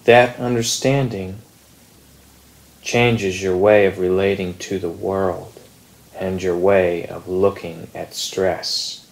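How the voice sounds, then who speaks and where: A man with a fairly low voice reads a contemplative text aloud. He goes very slowly and deliberately, leaving long pauses between short phrases. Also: little pitch movement, gravelly, poor audio. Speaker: reader at a Zen meditation group. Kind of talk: slow contemplative text reading.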